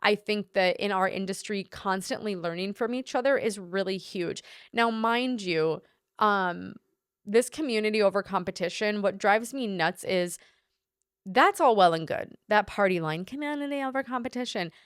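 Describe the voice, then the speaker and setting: A woman's voice: medium pitch, normal speed, clean tone, no echo, clean studio sound. A woman wedding photographer co-hosting a casual podcast, talking bluntly and swearing freely as she gives her opinions.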